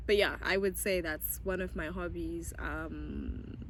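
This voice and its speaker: A woman's voice: lowish voice, a little slow, gravelly, background noise. Bubbly young Zimbabwean woman vlogger. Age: young adult. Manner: bubbly, giggly, chatty and animated. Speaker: YouTuber. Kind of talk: casual get-to-know-me chat.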